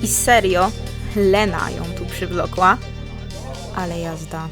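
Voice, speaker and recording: A woman's voice: lowish voice, steady tone, slow, music in the background. A young actress playing a sneering, territorial teenage girl in an audio drama. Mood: scornful. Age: teens to young adult. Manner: mocking.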